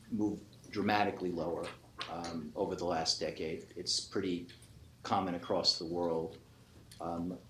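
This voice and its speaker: Very deep-voiced man in echoey room. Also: fairly bright tone. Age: 50s or 60s. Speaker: economist on a panel. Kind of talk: unscripted panel discussion remarks. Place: conference panel before an audience. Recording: echoey room with faint noise.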